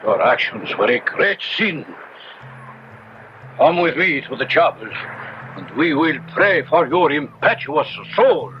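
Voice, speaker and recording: Stern father, high-pitched, animated. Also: slow pace, over music. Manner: reproving, grave, formal. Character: stern, pious father rebuking his son. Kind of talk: 1970s radio drama acting. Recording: muffled, noisy old radio broadcast.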